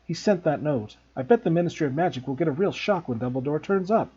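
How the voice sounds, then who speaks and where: A man's voice: fairly high pitch, expressive, quick pace, darkish tone, slightly rough, lo-fi sound. A man reading an urgent young schoolboy. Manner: urgent and earnest.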